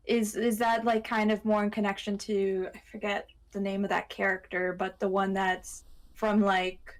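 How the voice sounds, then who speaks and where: Rambling woman in casual podcast chat. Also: mid-range voice. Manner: rambling, self-interrupting with frequent "like". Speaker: podcast co-host.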